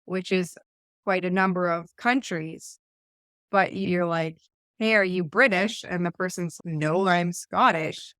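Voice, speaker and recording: A woman's voice: on the low side, slow, a bit bright, no room sound, studio quality. A woman with an Eastern Ontario Canadian accent chatting casually as a podcast co-host, in a rambling, anecdotal way. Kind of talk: casual conversational discussion.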